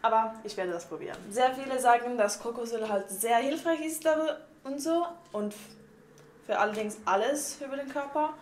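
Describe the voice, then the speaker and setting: A woman's voice: a little high, normal speed. A young woman with a Swiss German accent, chatting casually and loosely through beauty tips, with lots of fillers. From Switzerland. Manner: chatty and unpolished, with many fillers like "und so". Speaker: vlogger. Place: home bathroom, indoors. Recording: echoey bathroom with faint noise.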